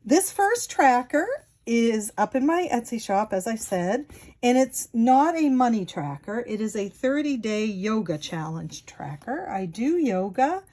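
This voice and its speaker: A woman's voice: on the high side, a little mellow. A woman in her sixties with a New England accent, chatting casually to camera at home with many fillers. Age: 60s. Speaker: vlogger and Etsy seller. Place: home, at a wooden table.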